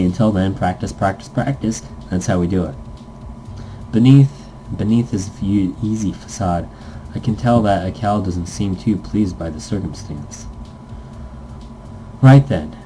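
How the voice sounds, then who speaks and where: Low, very mellow man reading aloud. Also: a little hiss or hum, rough recording. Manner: stumbles over lines, adds goofy nonsense sounds. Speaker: let's player reading a visual novel aloud. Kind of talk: casual game read-aloud with asides.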